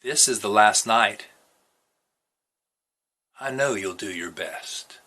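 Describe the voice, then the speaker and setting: A man's voice: lowish voice, takes it slowly, sharp tone, exaggerated voice. A man voicing an earnest, confident farm boy who hunts with hounds, in a storybook reading. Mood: hushed, tender.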